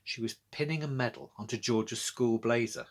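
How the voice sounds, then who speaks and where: A man's voice: medium pitch, fairly quick. A British man narrating a scripted children's storybook as its author, reading aloud.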